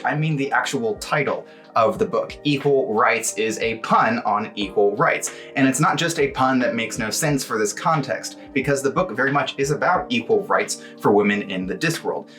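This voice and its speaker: A roomy, echoing recording of a man hosting a casual book review. His voice sits at a medium pitch, with music behind it. He talks in a chatty way and drops in wry asides. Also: fairly bright tone. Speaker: book review video host.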